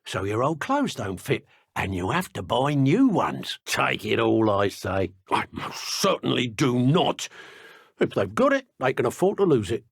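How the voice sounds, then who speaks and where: Casting: self-important wizard criminal, game. Mid-pitched, husky, lively intonation, argumentative. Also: male voice, unhurried, dry recording, clean studio sound, heavily acted. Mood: grumbling. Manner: haughty, wry, argumentative. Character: grumbling, self-important British male dark wizard criminal. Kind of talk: video game character voice acting.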